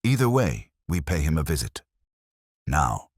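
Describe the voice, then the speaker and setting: A man voicing a courteous, incisive police inspector in a mystery story, measured and decisive. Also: rumbling low, big pitch swings, breathy edge, no room sound, studio quality, exaggerated voice. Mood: firm, decisive.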